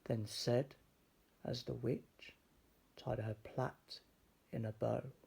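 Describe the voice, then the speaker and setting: Very slow, gravelly male storybook reader. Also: mid-range voice, little pitch movement, a little mellow. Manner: measured, dramatic storytelling. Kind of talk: expressive children's story narration.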